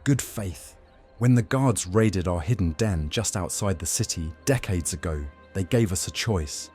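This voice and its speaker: A man playing a young Roman vampire master in an audio drama, measured, teasing and faintly threatening. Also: deep voice, a little mellow, soft background music. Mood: grave, reflective. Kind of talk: audio drama roleplay acting.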